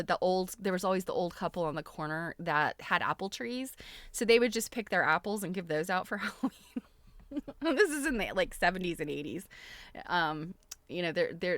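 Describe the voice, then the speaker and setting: Animated middle-aged woman chatting casually. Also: fairly low pitch, no room sound. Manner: giggly, exclaiming, chatty. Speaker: livestream host. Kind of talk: casual livestream chat.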